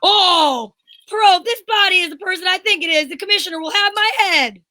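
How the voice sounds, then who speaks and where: A flustered, excitable police inspector from an old-time radio detective play, acted by a woman. The voice is extremely high and sharp, recorded dry, with melodramatic, agitated delivery. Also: a little fast, no echo.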